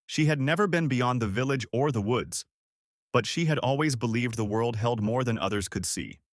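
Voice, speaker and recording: A man's voice: medium pitch, moderate pace, dry recording, clean studio sound. A man narrating a scripted fairy tale for children as a storyteller.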